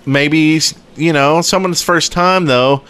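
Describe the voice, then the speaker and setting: A man's voice: on the high side, slow pace, a bit bright, clear, resonant tone. A man co-hosting a casual gaming podcast, reasoning aloud in a tentative way. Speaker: podcast co-host.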